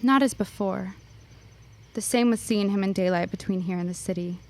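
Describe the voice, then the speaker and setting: A woman's voice: medium pitch, darkish tone, natural voice. Woman playing a guarded, serious teenage schoolgirl. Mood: haunted, fearful. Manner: formal, measured, reserved. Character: Nancy, guarded, fearful, serious schoolgirl in her teens. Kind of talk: period radio drama acting.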